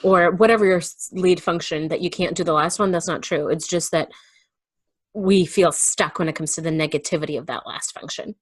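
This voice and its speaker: Slightly low-voiced woman, close and dry. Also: brisk, dry recording. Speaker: guest. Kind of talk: casual reflective conversation.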